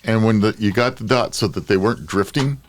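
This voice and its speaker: A man's voice: fairly low pitch, a little fast. An older man co-hosting a podcast, chatting casually and telling anecdotes. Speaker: podcast co-host.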